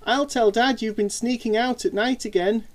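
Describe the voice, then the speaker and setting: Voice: mid-range voice, fairly even intonation, normal speed, voice pushed up, natural voice. A narrator voicing a stubborn, defensive young boy in a children's story. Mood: indignant. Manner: defensive, bossy, indignant. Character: stubborn, whiny young boy, superhero fan.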